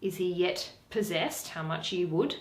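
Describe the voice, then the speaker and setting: A woman's voice: fairly low pitch, animated, unhurried, reverberant, far from the mic. A woman giving a dramatic solo reading of a Shakespeare play. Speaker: reader of Shakespeare plays.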